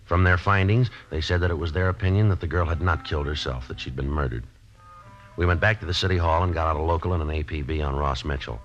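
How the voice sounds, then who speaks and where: An American actor playing a terse, no-nonsense police detective in a 1950s radio drama, deadpan and businesslike. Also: male voice, very deep voice. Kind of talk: 1950s radio drama acting, clipped procedural narration. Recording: muffled vintage radio recording, music cues.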